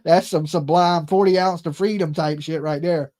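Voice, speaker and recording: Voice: medium pitch, steady tone, moderate pace, a little mellow. A live call-in stream host of ambiguous sex, chatting casually in crude, mocking, profane slang and often tagging sentences with "right?" or "okay". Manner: peppers talk with "right?" and "okay".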